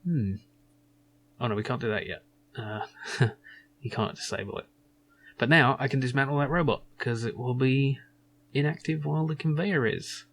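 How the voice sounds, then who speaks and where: A man's voice: mid-range voice, moderate pace, long pauses, slight background noise. A man playing through a video game and commenting casually as he reads and explains. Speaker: gaming host. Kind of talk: video game playthrough with self-voiced dialogue.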